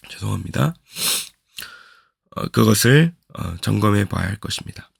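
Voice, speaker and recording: A man, a pastor, giving a slow, earnest devotional sermon, his voice hoarse from a cold. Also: lowish voice, huge pitch swings, fairly bright tone, no room sound, studio quality. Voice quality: gravelly. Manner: slow, earnest preaching with repeated reflective questions.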